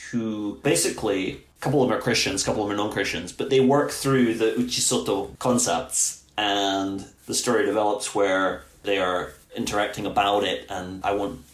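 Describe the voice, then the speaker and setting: A man's voice: on the low side, steady tone, normal speed, few pauses. Earnest man explaining in a roomy space. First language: English. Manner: earnest, thoughtful, with frequent restarts. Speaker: seminary teacher, guest. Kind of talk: conversational explanation. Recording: roomy echo.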